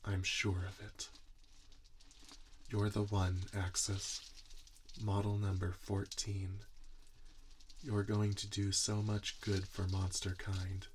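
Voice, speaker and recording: A man playing a gentle, earnest monster inventor in an ASMR roleplay, speaking softly in a soothing, deliberate, reassuring way. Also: on the low side. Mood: earnest, hopeful. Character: gentle, earnest male monster inventor tending his robot creation. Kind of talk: soft-spoken ASMR roleplay. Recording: audible background noise, typing and clicks. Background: computer keyboard typing and clicks.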